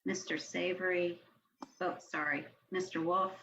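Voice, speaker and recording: A low-voiced woman handles procedure at a public meeting, speaking slowly and carefully. She pauses as she clarifies. The audio is a little dull, with faint noise from the online call. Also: flat delivery, pushed and bright. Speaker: commission staff member running the roll-call vote.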